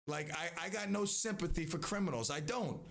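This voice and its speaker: A man's voice: on the high side, a little fast, a bit bright. A man hosting a live stream, talking in a casual, rambling way with many restarts and asides.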